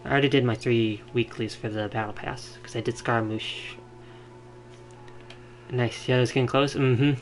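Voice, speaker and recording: A man streaming a video game, commenting casually and thinking out loud in a rambling way. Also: medium pitch, fairly even intonation, normal speed, pauses often. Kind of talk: casual live gameplay commentary. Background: video game music.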